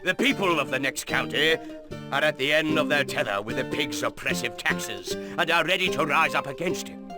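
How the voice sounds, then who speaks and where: An actor playing a stern noble military ally, giving earnest, formal orders with authority in a video game briefing. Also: male voice, on the high side, expressive, moderate pace, loud music behind the voice, natural voice. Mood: rousing. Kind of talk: video game mission briefing, dramatic acting.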